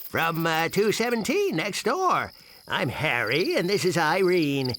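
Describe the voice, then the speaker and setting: An actor playing an easygoing older bee neighbour in a cartoon video game, folksy and reassuring. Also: male voice, fairly high pitch, sing-song, measured pace, noisy background.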